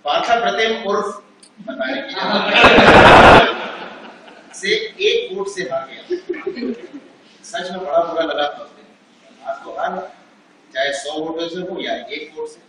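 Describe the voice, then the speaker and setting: A man's voice: high-pitched, wildly swooping pitch, unhurried, pauses often, very noisy. Wry man reminiscing in an echoing hall. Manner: wry, reminiscing, self-mocking. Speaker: stage actor reading a reply letter. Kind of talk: stage play monologue. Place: large auditorium. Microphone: unamplified stage, far from the recorder. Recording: echoey hall from far off.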